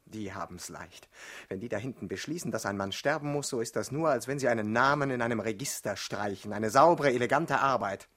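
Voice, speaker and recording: A man acts a young revolutionary in a radio drama, mid-pitched and brooding. He speaks with bitter defiance, rising into declamation when provoked. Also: fairly quick, fairly bright tone, natural voice. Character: troubled, defiant young man, party revolutionary with an assassin's mission. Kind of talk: mid-century German radio play acting.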